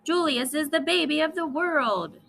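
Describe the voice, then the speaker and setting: A woman's voice: sits high, animated, normal speed, creaky, fairly bright tone, a little hiss or hum, pitched above the performer's own voice, exaggerated voice. Woman playing a snooty, disdainful mouse cousin. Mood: reluctant. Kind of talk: children's storybook read-aloud.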